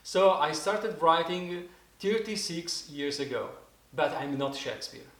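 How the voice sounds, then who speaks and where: Italian-accented music teacher in his forties, slow, restarts often, roomy echo. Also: fairly high pitch, creaky, a bit bright. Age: 40s. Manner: halting, searching for words, many restarts. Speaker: didgeridoo teacher. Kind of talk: casual spoken lesson.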